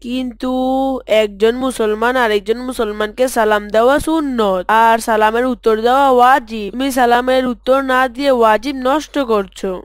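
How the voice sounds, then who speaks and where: An earnest, well-mannered young boy, voiced by a woman in a cartoon. His voice sits fairly high and sounds smooth and clean. The recording is dry and close. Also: steady tone, normal speed, no room sound, close to an everyday voice. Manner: earnest, gently preaching. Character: polite, dutiful young boy. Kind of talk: cartoon voice acting.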